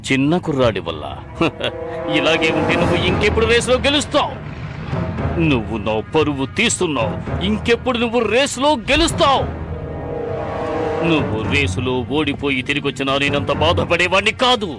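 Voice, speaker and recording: A man voicing a domineering older villain boss in a cartoon dub, mocking and menacing with drawn-out emphasis. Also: fairly high pitch, big pitch swings. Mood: contemptuous, mocking. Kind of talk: dramatic cartoon dub acting. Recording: loud music over the voice.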